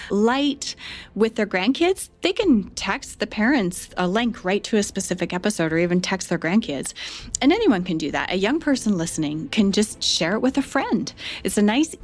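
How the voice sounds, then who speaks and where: A woman speaking as an interview guest, explaining things in a conversational way. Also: fairly low pitch. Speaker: show producer and interview guest.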